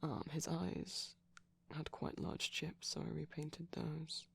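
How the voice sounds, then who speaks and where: A man speaking in a soft, breathy ASMR ramble, often slipping into a whisper, gentle, shy and hesitant, close to the microphone. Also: a little high, level tone, takes it slowly. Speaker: ASMR performer.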